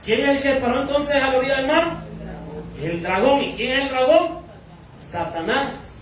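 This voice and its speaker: A Salvadoran pastor with a high voice preaches in a big echoing church hall, heard from some distance off the mic. He teaches by asking questions and answering them himself, with a faint room hum behind him. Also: moderate pace. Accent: Salvadoran Spanish. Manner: teaching, asks and answers his own questions. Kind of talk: sermon. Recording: echoey church hall from far off.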